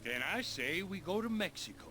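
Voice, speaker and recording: An actor playing a brooding, hot-headed outlaw brother of the Old West, with a menacing drawl. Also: male voice, high-pitched, big pitch swings, normal speed, bright, forceful tone, faint music behind, heavily acted. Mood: insistent. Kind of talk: cinematic Western game acting.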